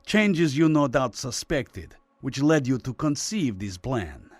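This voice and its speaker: An actor playing a courtly but menacing Lithuanian communist official in a radio drama, formal, measured and condescending, with a mid-pitched voice. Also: male voice, sing-song, no room sound. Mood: coolly menacing. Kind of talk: Cold War radio drama acting.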